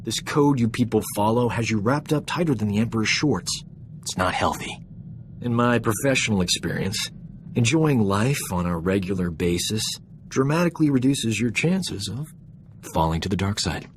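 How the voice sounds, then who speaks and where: A man's voice: fairly low pitch, animated, moderate pace, slight background noise, close to an everyday voice. A man playing a cocky, fun-loving ship's doctor in a video game, breezy, boastful and wisecracking. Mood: cocky, teasing. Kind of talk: video game dialogue acting.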